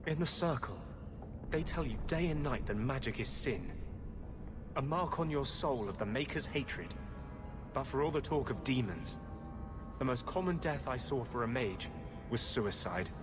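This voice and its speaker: An actor playing an earnest, brooding rebel mage in a video game, speaking with impassioned intensity. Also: male voice, medium pitch, expressive, moderate pace, pauses often, over music, close to an everyday voice. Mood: impassioned, bitter. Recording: clear ambient noise behind voice.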